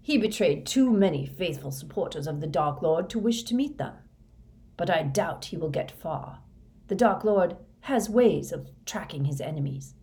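A woman's voice: lowish voice, lively intonation, normal speed, close to an everyday voice. A woman reading a storybook, voicing a grim, menacing older male wizard teacher who questions insistently with fanatical intensity. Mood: coldly amused. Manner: quiet insistent questioning rising to fervent intensity.

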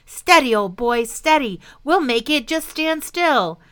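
A woman's voice: a little high, very animated, moderate pace, sharp tone, voice pushed up. A woman voicing a clever, cheerful young adventurer who speaks politely and coaxingly. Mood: urgent.

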